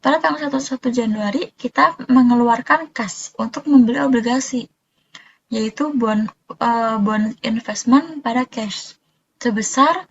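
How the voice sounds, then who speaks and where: A woman's voice: fairly high pitch, measured pace, breathy edge, highs cut off. A young woman explaining material step by step in a student presentation, informal and a little hesitant. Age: young adult.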